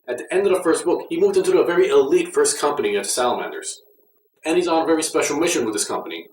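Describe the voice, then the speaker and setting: A man giving a casual, conversational and mildly critical review as an audio drama reviewer. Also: on the high side. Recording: roomy echo, distant mic, faint noise.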